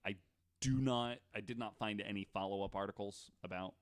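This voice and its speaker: Low-pitched man telling stories casually. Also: brisk. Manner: jokey, conversational. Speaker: podcast host. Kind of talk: casual storytelling from notes with banter.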